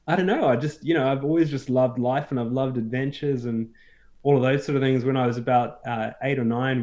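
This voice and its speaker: Relaxed, rambling man with fillers. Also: mid-range voice. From South Africa. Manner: relaxed and hesitant, many fillers and restarts. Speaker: podcast guest. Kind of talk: casual interview chat.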